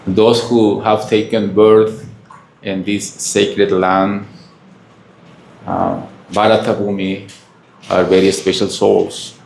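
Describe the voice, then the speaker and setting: A man from Peru with a Peruvian Spanish accent, a native Spanish speaker, giving a halting spiritual lecture full of fillers and questions to the audience. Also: lowish voice, gravelly, darkish tone, a little hiss or hum. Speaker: monk giving a talk. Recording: echoey room.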